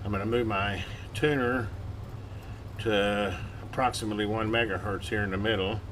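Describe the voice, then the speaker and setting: A man's voice: lowish voice, fairly even intonation, normal speed, a bit bright. A man hosting a hobby electronics repair channel, giving a casual hands-on tutorial in a rambling, folksy, self-correcting way. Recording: clearly noisy workbench recording. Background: clear background noise.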